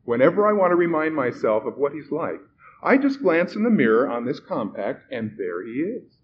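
A man's voice: on the high side, very animated, moderate pace, voice pushed up. A man voicing a complacent lady in a storybook, sweetly pious and self-assured. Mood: smugly sweet. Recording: muffled recording with background noise.

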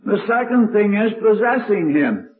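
A man's voice: high voice, big pitch swings, moderate pace. An older man preaching with a strong, carrying voice, telling stories in an old-time sermon. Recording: tinny lo-fi archival recording.

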